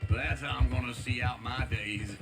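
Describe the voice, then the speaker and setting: An actor playing a boastful, idle old outlaw in a video game, folksy and rambling with an American Southern accent. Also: male voice, mid-range voice, steady tone, normal speed, natural voice. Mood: wistful, jovial. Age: older. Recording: loud music behind dialogue. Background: music, horse hooves.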